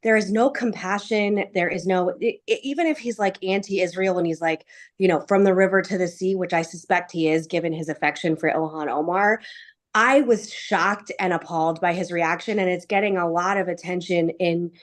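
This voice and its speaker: Female caller, slightly low voice, casual and emphatic, airing personal political opinion. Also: normal speed. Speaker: caller and pro-Israel advocate. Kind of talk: casual, opinionated question on a video call.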